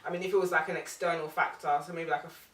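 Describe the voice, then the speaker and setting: A young woman in her twenties talks quickly, with a slightly low voice and lots of "um" and "like." She sits some distance from the mic in an echoey room. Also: fairly even intonation, fairly bright tone. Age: 20s. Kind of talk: casual panel chat.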